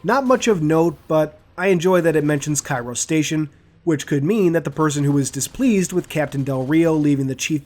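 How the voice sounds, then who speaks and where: Higher-pitched man narrating game lore. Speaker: video host and commentator.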